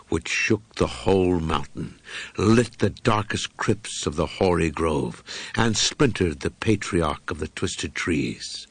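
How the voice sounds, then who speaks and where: A man narrating a first-person horror audiobook in a solemn, brooding, deliberate manner with dramatic pauses. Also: low voice, husky, dry recording.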